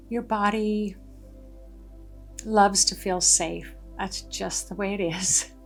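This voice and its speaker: A woman leading a guided meditation in a gentle, deliberate way, pausing between short phrases. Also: mid-range voice. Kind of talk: guided meditation, soothing narration. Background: background music.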